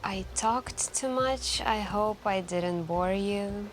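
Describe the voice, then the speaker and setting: A woman playing a wistful, soft-spoken stranger in a late-night café, confiding hesitantly in an intimate ASMR roleplay. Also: mid-range voice, natural voice. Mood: reluctant farewell. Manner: hesitant, musing, confiding, with gentle pauses. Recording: continuous quiet background music. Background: quiet background music.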